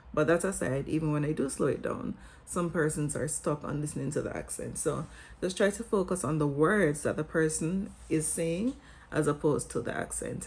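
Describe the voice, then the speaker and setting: A woman's voice: sits low, a little mellow. A young woman with a Jamaican accent giving articulate, instructive advice in a vlog, mixing a prepared script with casual asides. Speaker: vlogger and English teacher.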